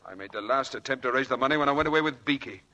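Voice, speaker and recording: An actor playing a volatile, bitter husband in a 1940s radio drama, intense and clipped. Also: male voice, mid-range voice, racing, sharp tone. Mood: bitter, resigned. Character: volatile, bitter, self-reproaching husband in debt. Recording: old radio broadcast with faint music.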